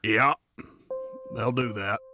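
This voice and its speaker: A man playing a gruff but easygoing, weary gunslinger in a Western audio drama, with a folksy, laconic drawl. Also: mid-range voice, fairly even intonation, slow pace, bright, forceful tone, soft background music. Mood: reluctant.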